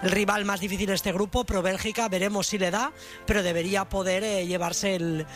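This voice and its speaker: A male football analyst speaks live on radio in a high voice. He halts and doubles back on words, over clearly audible music. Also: steady tone, a little fast, fairly bright tone.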